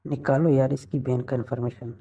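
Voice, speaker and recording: A man's voice: mid-range voice, level tone, fairly quick, mellow, husky. A man in a casual, intimate phone conversation, teasing and probing with questions, recorded muffled and distant.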